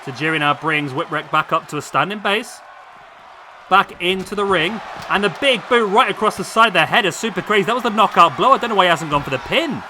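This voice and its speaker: A man commentating on a wrestling video game, losing his voice, chatty and excitable as he calls the action. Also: high voice, a little fast. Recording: loud game crowd noise behind. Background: video game crowd and arena sound.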